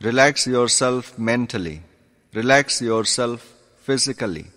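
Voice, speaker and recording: A male yoga teacher guides a meditation in a calm, deliberate manner. His pitch sits in the middle range and he speaks very slowly, in short measured phrases. The recording carries a long, hall-like reverb.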